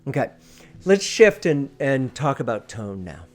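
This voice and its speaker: A man with a mid-pitched voice coaches listeners on presenting in an informal, instructional style. He speaks emphatically and repeats key phrases to drive them home. Music plays faintly behind him. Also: sing-song, a little slow.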